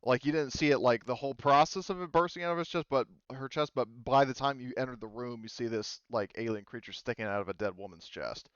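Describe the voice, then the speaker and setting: A man's voice: medium pitch, fast, hardly any pauses, clear, resonant tone, no room sound, muffled recording. A man running a tabletop game as game master, rambling with many fillers and self-corrections.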